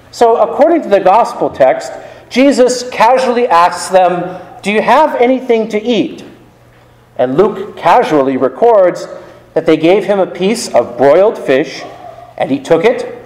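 Male pastor, slightly high voice, measured sermon delivery, roomy church sound. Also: a little mellow. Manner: measured preaching with rising emphasis and falling phrase ends. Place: church sanctuary.

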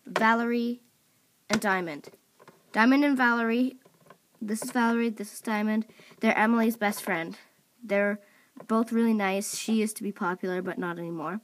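A woman's voice: on the high side, a little hiss or hum. A young woman casually introducing her characters at home, talking in a rambling way with lots of fillers. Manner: rambling, filler-heavy, scolds her dog off-mic. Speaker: video creator. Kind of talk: casual chat. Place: home room at a table of toys.

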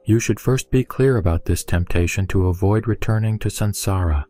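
A man reading a Buddhist scripture aloud in a measured, steady recitation. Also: fairly low pitch. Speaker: scripture reader. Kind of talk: solemn scripture reading over music. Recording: soft background music.

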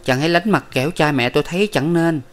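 A man's voice: a little high, quick pace, voice pushed up, close to an everyday voice. A man voicing a wronged young wife in a storybook, restrained and solemn. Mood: resolute.

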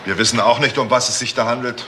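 An actor playing a brisk, matter-of-fact police officer passing on a report in a crime radio play. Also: male voice, medium pitch, steady tone, bright, forceful tone, slight background noise, lightly acted. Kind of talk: German radio crime drama acting.

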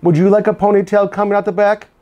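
A man's voice: high voice, little pitch movement, quick pace, mellow, muffled recording, voice pushed up, close to an everyday voice. Man reading as a patient, doubtful mom. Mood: offering. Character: patient, doubtful mother questioning her daughter.

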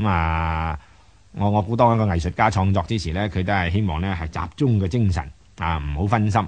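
Low-voiced Hong Kong man commenting casually. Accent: Hong Kong Cantonese. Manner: rambling, colloquial, many particles and repeats. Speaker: radio storytelling host and commentator. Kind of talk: conversational commentary on a fable.

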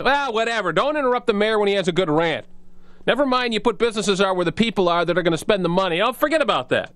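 High-pitched, sarcastic man hosting talk radio. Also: a bit bright, no echo. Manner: sarcastic, mocking.

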